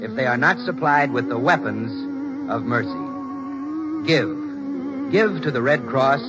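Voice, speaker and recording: A man's voice: fairly high pitch, wildly swooping pitch, takes it slowly. A man announcing a solemn, emphatic wartime charity appeal on muffled 1940s radio, with music behind him.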